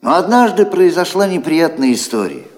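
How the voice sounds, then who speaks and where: A man's voice: a little high, big pitch swings, normal speed. A kindly old godfather in a fairy-tale audio play. His intonation rises and falls dramatically as he narrates with teasing, grumbling theatricality. The voice rings in a long hall reverb. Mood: animated storytelling.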